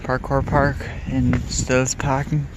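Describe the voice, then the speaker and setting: A young man, an exchange student, chatting casually in a vlog, rambling and hesitant with many pauses. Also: medium pitch, background noise. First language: English. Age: young adult. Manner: relaxed, rambling, many ums.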